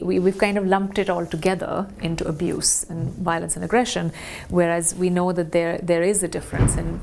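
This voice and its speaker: A woman's voice: fairly low pitch, creaky, a little mellow, a little hiss or hum. A woman from England who works in the health service, giving a reflective personal account with frequent restarts and hesitations. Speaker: health service staff member.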